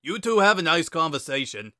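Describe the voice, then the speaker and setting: A man's voice: a little high, big pitch swings, fairly quick, pushed and bright, no room sound, clean studio sound, pitched above the performer's own voice, full character voice. A man voicing a laid-back, wry joker with a casual, sardonic drawl in a dramatic story reading. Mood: breezy. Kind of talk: dramatic reading of fan fiction.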